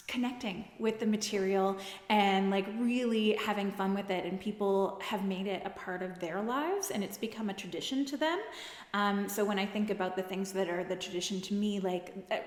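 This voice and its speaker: A woman talking casually and with enthusiasm in a documentary interview as a radio drama performer. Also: medium pitch. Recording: roomy echoey interview with music behind.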